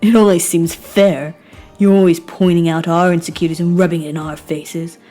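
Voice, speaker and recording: A woman's voice: lowish voice, fairly even intonation, moderate pace, mellow, faint music behind, close to an everyday voice. A woman voicing a cruel, goading, sinister young man in an amateur game. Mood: menacing.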